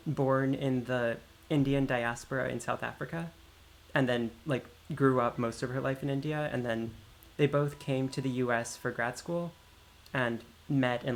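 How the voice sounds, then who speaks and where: A man's voice: medium pitch, vocal fry, a little hiss or hum, rough recording. A man with an American accent chatting casually as he livestreams a game, rambling into explanatory asides. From United States, Maryland. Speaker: livestream host playing a video game. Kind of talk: casual chat while gaming.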